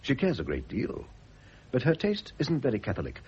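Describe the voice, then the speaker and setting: A man acting in a radio drama as a wealthy middle-aged gentleman art lover, urbane, flippant and a little pompous, with a teasing way of talking. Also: medium pitch, huge pitch swings, moderate pace, breathy edge, heavily acted. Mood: wry, teasing. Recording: noisy, muffled vintage radio recording.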